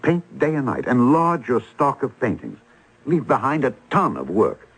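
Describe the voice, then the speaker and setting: An eager English gentleman with a scheme to sell in an old-time radio play. His husky, mid-pitched voice moves up and down a lot, pleading ornately and stammering when flustered. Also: huge pitch swings, moderate pace, full character voice, rough recording. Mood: persuasive. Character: earnest, persuasive English gentleman schemer in Paris.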